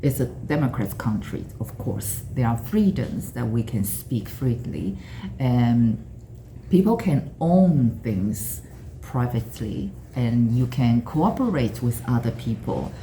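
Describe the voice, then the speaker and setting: A woman's voice: very deep voice, warm tone, a little hiss or hum, low-quality recording. A middle-aged woman from Taiwan with a Taiwanese accent, reflecting earnestly on her life, often restarting and repeating words as she searches for them. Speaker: interviewee. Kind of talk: personal storytelling.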